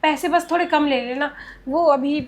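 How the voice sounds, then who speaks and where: A woman's voice: high-pitched, moderate pace, lightly acted. An actress playing a naive young woman in a PG room who whines and pleads with a doctor about her itch. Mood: pleading.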